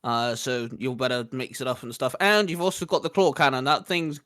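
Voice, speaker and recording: A man's voice: mid-range voice, animated, fairly quick, a bit bright, clear, resonant tone. Man hosting a casual fan chat. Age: adult. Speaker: host leading the discussion.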